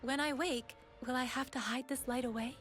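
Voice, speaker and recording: A woman's voice: high voice, fairly even intonation, normal speed, fairly bright tone, soft background music. A woman playing a wistful champion of light in a video game.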